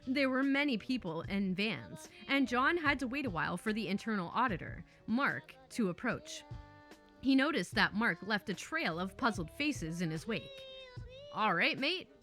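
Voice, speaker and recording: A woman reading online stories aloud who breaks into playful, chatty asides. Her voice is bright and fairly high, with a lively, swinging pitch. Faint music plays underneath. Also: moderate pace. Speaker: story narrator.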